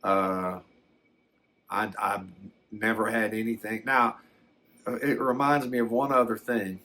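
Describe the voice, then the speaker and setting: An older man telling a personal story in a casual, unscripted way, halting and repeating words as he reflects. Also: fairly low pitch, steady tone, bright, forceful tone. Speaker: storyteller recounting a personal experience.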